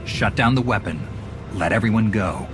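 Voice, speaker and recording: A man's voice: lowish voice, animated, normal speed, lightly acted. A man playing a principled, resolute hero commander in a video game, firm and earnest. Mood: firm appeal. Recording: loud music behind.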